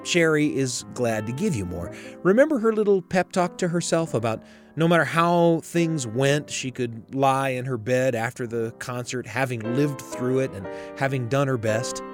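A man hosting a radio storytelling show, narrating in a reflective, conversational way with deliberate phrasing. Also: mid-range voice, animated, over music.

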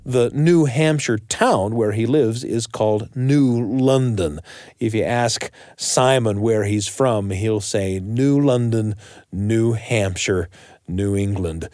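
A man's voice: lowish voice, darkish tone, no echo, clean studio sound. A man with an American accent hosting a storytelling show with scripted introductions. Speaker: show host. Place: studio.

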